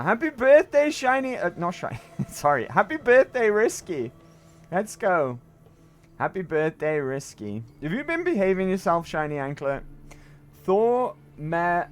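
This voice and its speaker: A man streaming a game with a slightly raised, croaky voice that swings up and down a lot. He chats to viewers, breaks into sudden exclamations and keeps up a loose running commentary, with faint game music underneath. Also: on the high side. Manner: chatty, jumpy exclamations, greets viewers. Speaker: livestreamer. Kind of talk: casual gameplay livestream chat.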